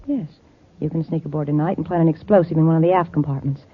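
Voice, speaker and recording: An actress playing a cool, calculating, villainous space-line boss who schemes smoothly in a vintage radio serial. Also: female voice, low voice, quick pace. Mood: coolly scheming. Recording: tinny, narrow old radio recording.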